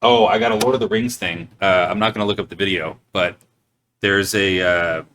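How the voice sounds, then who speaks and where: A man's voice: low voice, animated, moderate pace, a bit bright, slight background noise. A young man co-hosting a podcast, trading crude jokes, swearing casually and laughing often. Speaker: podcast co-host.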